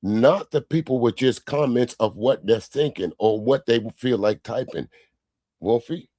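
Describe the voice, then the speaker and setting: A man's voice: medium pitch, animated, unhurried, warm tone, dry recording. A man hosting a livestream, giving casual commentary and replying to viewer comments in an argumentative way with rhetorical questions. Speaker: livestream host.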